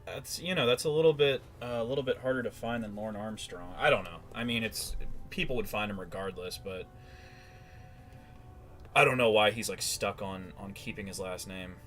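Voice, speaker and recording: A man's voice: on the low side, normal speed, a bit bright, soft background music. A man giving casual, mocking commentary in a sarcastic and profane manner. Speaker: commentator reacting to a played recording.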